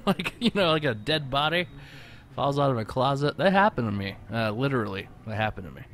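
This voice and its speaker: A man's voice: medium pitch, animated, fairly quick, a bit bright, natural voice. An actor playing a catty, mocking, crude young man in a theatrical manner for an audio drama. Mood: dramatic. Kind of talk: podcast audio drama acting.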